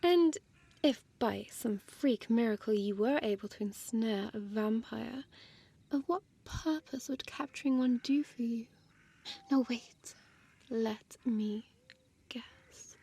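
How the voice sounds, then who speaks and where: Audio roleplay vampire, female, played by a woman: very slow, breathy half-whisper, seductive, teasing, condescending predator with formal diction. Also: on the high side, warm tone, exaggerated voice. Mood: feigned surprise, taunting. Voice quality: breathy, often dropping to a whisper. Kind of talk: intimate audio roleplay addressed to listener.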